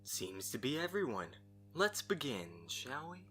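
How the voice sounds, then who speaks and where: A man's voice: a little high, sing-song, a little slow, sharp tone, husky, soft background music, full character voice. A man acting a courteous, scheming young host in an amateur audio drama, with a theatrically polite manner. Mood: brisk, polite.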